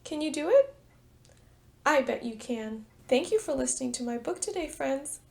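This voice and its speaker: A woman preschool teacher doing an expressive storytime read-aloud, speaking directly to young children. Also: high-pitched, normal speed, gravelly. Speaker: preschool teacher reading a picture book.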